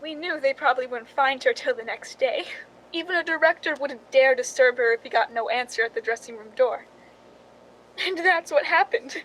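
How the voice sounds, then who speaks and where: A woman's voice: sits high, moderate pace, fairly bright tone, a little hiss or hum, rough recording. An actress playing a film stand-in who narrates her own crime story in a suspense radio drama, speaking in a dramatic first person. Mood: tense, recounting.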